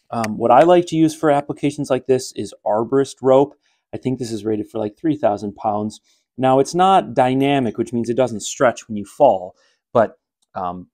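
Man, middle-aged, casual how-to walkthrough, relaxed, lots of fillers, handheld phone recording in a garage. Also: medium pitch. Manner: relaxed, rambling with many fillers. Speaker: home gym vlogger. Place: home garage, then attic. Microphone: handheld phone camera.

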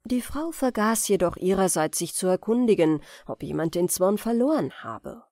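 A woman's voice: mid-range voice, animated, normal speed, mellow, dry recording. A woman reading a fairy tale as scripted audiobook narration for bedtime.

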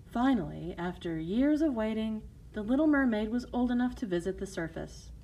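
A woman's voice: a little high, expressive, normal speed, warm tone, low-quality recording. A woman narrating a scripted fairy tale for children as a storyteller.

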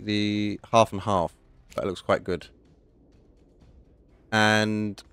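A man with a hoarse voice, casually talking through a game update and thinking aloud as he plays. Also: lowish voice, no echo. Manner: chatty, thinking aloud, self-correcting. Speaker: gaming channel host. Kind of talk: casual gameplay commentary.

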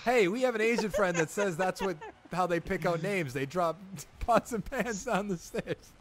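A young man chatting casually with friends while playing a video game, excitable, swearing and laughing often. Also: high voice, normal speed, slight background noise. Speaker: gaming channel co-host. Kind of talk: casual gameplay banter with friends.

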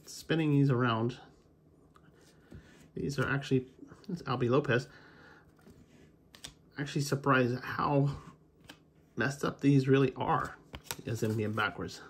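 A man's voice: mid-range voice, measured pace, pauses often, a little hiss or hum. An American man chatting casually and unscripted, rambling a little as he thinks aloud like a hobby video host. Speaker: video host, baseball card collector. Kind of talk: casual unscripted chat, commentary while opening card packs.

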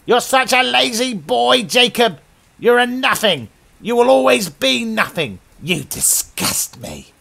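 A man voicing a cruel, monstrous dead mother shouting contemptuous abuse in a horror story. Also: extremely high pitch, sing-song, measured pace, vocal fry, voice pushed up, full character voice. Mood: furious contempt.

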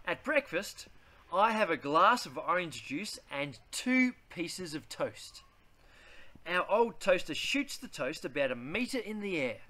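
A man, reading a children's picture book aloud in a deliberate, theatrical way. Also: high voice, sing-song, pushed and bright. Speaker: read-aloud host.

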